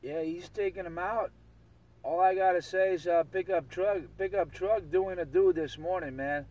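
A man's voice: sits high, steady tone, normal speed, darkish tone. A man in casual, slangy CB radio banter, repeating catchphrases over and over. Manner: repetitive chanting of catchphrases, slangy. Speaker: CB radio operator. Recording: distant CB radio transmission, outdoor vehicle sound.

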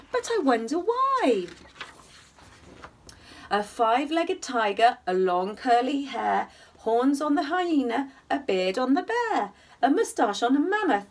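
Casting: woman, high voice, animated singsong storyteller for small children, recorded a little way from the mic at home. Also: far from the mic. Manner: animated, singsong, asks the listener questions. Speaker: children's bedtime story reader. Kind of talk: expressive picture-book storytelling for young children. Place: small attic room at home, indoors. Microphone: camera a short distance away.

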